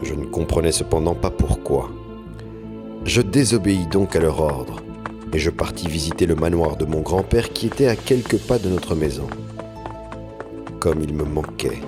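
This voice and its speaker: A man with a very deep voice reads a short story aloud in a measured, literary way. Music plays clearly beneath his narration. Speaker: reader.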